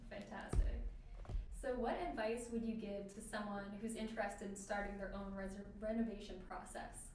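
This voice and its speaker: A woman's voice: medium pitch, little pitch movement, normal speed, a little mellow, very echoey, distant mic, a little hiss or hum. A woman moderating and reading audience questions in an upbeat, polite way.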